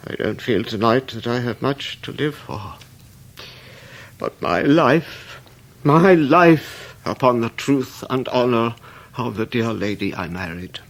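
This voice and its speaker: An actor playing a gentle, honourable old scholar in a period radio drama, speaking with a British accent in a halting, earnest, dignified way. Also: male voice, mid-range voice, lively intonation. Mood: anguished, remorseful. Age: older.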